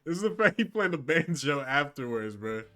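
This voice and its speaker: Animated, higher-pitched man reacting casually. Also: normal speed. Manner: animated, profane. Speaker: reaction video host. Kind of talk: casual slangy live reaction commentary.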